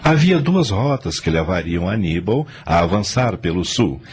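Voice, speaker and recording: A man's voice: deep voice, big pitch swings, moderate pace, slight background noise. A man narrating a radio drama from a script in a storytelling role. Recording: music under the voice.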